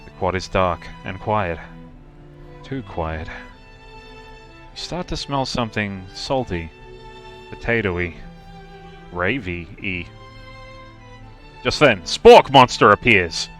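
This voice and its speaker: A man's voice: sits low, expressive, slow pace, long pauses, a bit bright, soft background music. A man narrating a comic game as a streamer, reading scripted lines in a theatrical, playful way.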